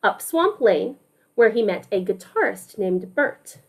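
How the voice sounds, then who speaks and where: A woman who teaches music, reading a picture book aloud to young children on a video call, expressive and affectionate, often putting questions to them. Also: medium pitch, a little slow. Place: online class over video call.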